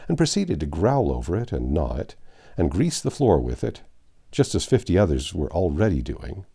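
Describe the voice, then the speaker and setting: A low-voiced man reads a story aloud as an audiobook narrator. His tone is mellow with plenty of gravel, and he lingers theatrically on phrases with dramatic pauses. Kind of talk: expressive storybook reading aloud.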